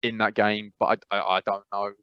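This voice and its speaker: A man's voice: fairly low pitch, little pitch movement, a little fast, clear, resonant tone, no room sound, lo-fi sound. A man with an English accent co-hosting a gaming podcast over a video call, talking casually with many restarts and fillers. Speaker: podcast co-host. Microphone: remote call mic.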